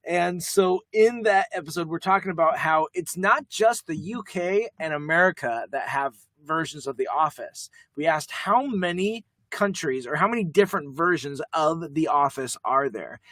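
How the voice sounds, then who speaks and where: A young man co-hosting a podcast, talking quickly in a rambling, jokey way. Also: fairly high pitch, lively intonation, sharp tone, no room sound. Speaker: podcast co-host. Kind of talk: casual chat.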